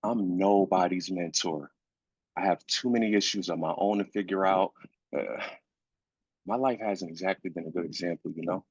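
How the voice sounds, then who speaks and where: Male actor as weary bereaved dad, low husky voice, insistent but supportive, dull dry recording. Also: moderate pace, dry recording. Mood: reluctant. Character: grieving, weary husband and father, insistent yet supportive. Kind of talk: live staged screenplay reading. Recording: dull, lo-fi sound.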